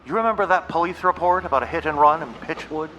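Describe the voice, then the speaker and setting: An actor playing an earnest, conspiratorial young vampire-hunting sidekick in an audio drama. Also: male voice, a little high, fast talker, pushed and bright, a little hiss or hum. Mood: hushed excitement.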